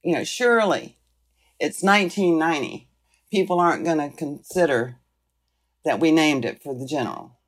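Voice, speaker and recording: An older woman being interviewed, reflecting on the past in a deliberate way with drawn-out phrases. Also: sits low. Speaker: interviewee, former school board member.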